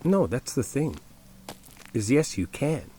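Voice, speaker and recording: A man's voice: medium pitch, big pitch swings, slow pace, dark tone, slight background noise, rough recording. A man playing a caring, insistent best friend in an ASMR roleplay, talking straight to the listener in a casual, coaxing, reassuring way. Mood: earnest, insistent. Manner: conversational, coaxing, addressing the listener directly. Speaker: ASMR roleplay audio performer.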